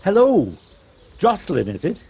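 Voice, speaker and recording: A man in an audio play voicing a courteous, unhurried small-town taxi driver, recorded on a personal device. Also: sits high, wildly swooping pitch, creaky, darkish tone, breathy edge, full character voice. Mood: friendly.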